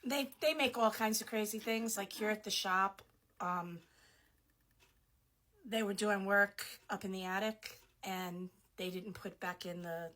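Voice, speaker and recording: A middle-aged woman whose voice is going hoarse, talking casually in her shop office as she shows off what she sells. She chats freely with lots of ums and little laughs, at an ordinary pitch. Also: a little slow, a bit bright. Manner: chatty, laughs often, many fillers. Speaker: small shop owner. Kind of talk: casual product showcase.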